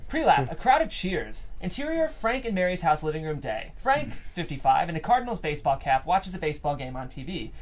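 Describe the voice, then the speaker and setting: Casting: female script narrator, low register, highly expressive intonation, live-read feel. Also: a little fast, few pauses. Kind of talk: reading stage directions aloud at a table read. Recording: faint room noise behind voice.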